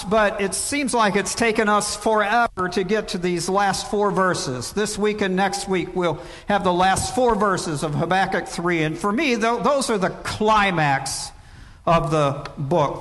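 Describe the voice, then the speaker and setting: Older pastor preaching in a reverberant church. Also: male voice, high voice, a bit bright. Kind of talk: sermon.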